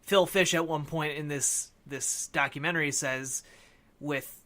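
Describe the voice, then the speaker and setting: A man's voice: on the high side, fairly even intonation, a little slow, bright, forceful tone. A man co-hosting a podcast, discussing film casually and thinking aloud as he explains. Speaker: podcast co-host.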